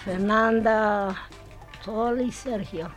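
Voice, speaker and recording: An Argentine woman, an adult student guest, chatting casually in simple, halting phrases with repetitions. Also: mid-range voice, fairly even intonation, soft background music. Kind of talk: casual chat. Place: radio studio.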